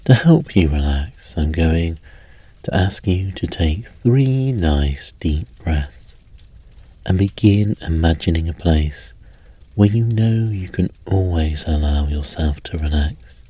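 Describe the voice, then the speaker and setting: Male sleep hypnosis guide, unhurried and gentle, soft breathy husk, measured pauses. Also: low voice, lively intonation, dry recording. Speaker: hypnosis and meditation host. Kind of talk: guided sleep hypnosis, slow soothing narration.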